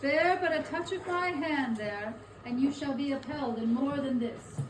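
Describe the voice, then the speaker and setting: Solemn commanding spirit played by a woman, high and unhurried, stage reading, distant mic in an echoey, noisy room. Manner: slow, measured, commanding. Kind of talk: theatrical dramatic reading.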